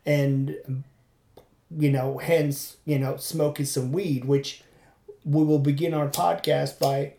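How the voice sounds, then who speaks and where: A man's voice: fairly high pitch, flat delivery, slow, creaky, dark tone, roomy sound, a little hiss or hum. A man from Turkey hosting a casual podcast monologue while running, rambling and reflective with many fillers. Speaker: podcast host and comedian.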